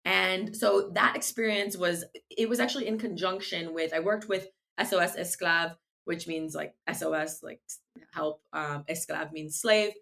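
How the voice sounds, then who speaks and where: A woman's voice: lowish voice, fairly even intonation, normal speed, creaky, few pauses, fairly bright tone. A woman whose first language is Farsi, answering interview questions in an earnest, thoughtful way with frequent restarts. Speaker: guest, legal tech founder.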